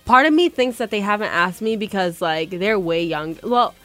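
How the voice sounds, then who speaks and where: A woman's voice: medium pitch, normal speed, fairly bright tone. A woman in her early twenties in a casual chat, self-deprecating and exclaiming, peppering her talk with "like". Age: early 20s. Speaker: college senior.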